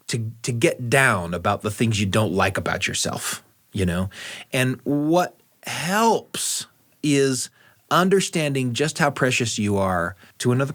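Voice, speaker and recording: A man's voice: medium pitch, fairly bright tone, no room sound. An American man hosting a storytelling radio show, speaking in a studio and narrating in a personal, conversational way.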